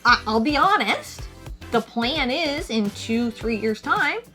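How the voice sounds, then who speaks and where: A woman's voice: fairly high pitch, animated, takes it slowly, pushed and bright, soft background music. A woman in her forties co-hosting a casual chat, laying out figures and averages. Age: 40s. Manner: chatty, lays out figures and averages. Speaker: co-host of a retro gaming channel.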